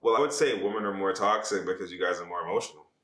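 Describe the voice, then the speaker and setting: A man's voice: deep voice, racing, fairly bright tone. A man with a Jamaican accent chatting casually as a podcast guest, speaking in a loose, slangy way. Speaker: podcast guest, social media page creator. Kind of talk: casual interview chat. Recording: echoey room.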